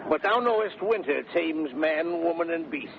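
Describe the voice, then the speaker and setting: A scratchy, phone-thin vintage radio play. a grumbling, bossy servant chilled to the bone, his voice high and gravelly, full of exclamations and complaint. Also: lively intonation, moderate pace. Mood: grumbling, boastful. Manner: exclamatory, complaining, stuttering with cold. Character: grumbling, half-frozen, bossy male servant back from a journey. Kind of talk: 1930s British radio Shakespeare comedy acting. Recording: scratchy, noisy, narrow-band 1930s radio recording.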